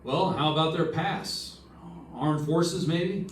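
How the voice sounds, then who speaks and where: A man's voice: on the high side, slow, slight background noise. A man playing a dogged, wry insurance investigator in an old-time radio detective drama. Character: Johnny Dollar, dogged male insurance investigator, wry and probing. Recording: echoey stage, distant mic, faint music.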